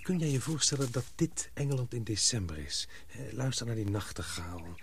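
An actor playing a calm, devoted young man in a radio play, speaking in a reflective, restrained way. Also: medium pitch, moderate pace, slight background noise. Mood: tender. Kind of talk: radio drama acting.

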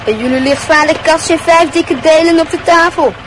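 An earnest, curious schoolboy, played by a woman, with a high, light voice. He asks his questions eagerly and a little timidly in an old radio play, with faint noise in the background. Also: moderate pace, fairly bright tone.

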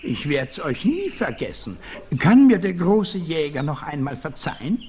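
High-voiced, wildly animated comic frontiersman. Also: measured pace. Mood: rueful, playful. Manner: theatrical, flowery, exclamatory. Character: comical, quirky male frontiersman in women's clothing. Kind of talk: 1960s German western radio play acting. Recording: 1968 vinyl record, faint noise.

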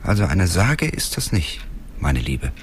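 An actor playing an older local man in a radio drama, telling town lore in a deliberate, knowing way. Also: sits low, very animated, a little hiss or hum. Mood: knowing, grave.

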